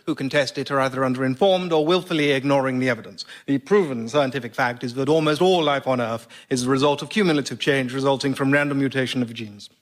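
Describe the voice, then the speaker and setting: An actor playing an earnest, precise older British biology professor, defending himself in a radio comedy interview. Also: male voice, mid-range voice, fast talker, hardly any pauses, natural voice. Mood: earnest, pedantic. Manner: earnest, precise, hedging academic. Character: earnest, defensive biology professor besotted with his baby granddaughter. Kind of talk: radio sketch comedy played as a formal interview.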